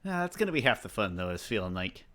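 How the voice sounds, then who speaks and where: A man in his thirties with a fairly low voice. He talks casually as a podcast co-host, musing out loud and dropping in joking asides. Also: sing-song, quick pace. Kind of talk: casual chat.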